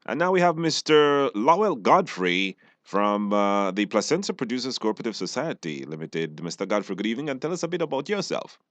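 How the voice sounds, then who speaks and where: A man's voice: lowish voice, sing-song, fairly quick, few pauses, a bit bright, no room sound. A man with a Belizean accent hosting a radio show in a casual, conversational style. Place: radio studio. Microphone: studio microphone.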